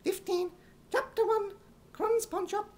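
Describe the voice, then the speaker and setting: A man's voice: extremely high pitch, fairly even intonation, measured pace, a little mellow. A man voicing a naive, boy-like lift operator in a singsong manner and a put-on British accent, in radio comedy style. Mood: earnest, singsong. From United States. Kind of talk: 1950s radio comedy acting.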